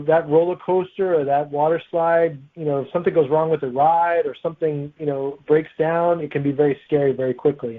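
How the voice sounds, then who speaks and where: A man speaking as a guest author, answering interview questions in a casual, conversational way. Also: on the high side. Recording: tinny, phone-like line.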